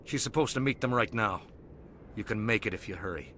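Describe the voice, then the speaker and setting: An actor playing a cowardly, slippery underworld crime boss in a video game, whiny, defensive and pleading. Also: male voice, fairly low pitch, animated, brisk, a bit bright, slight background noise. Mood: nervous confessing.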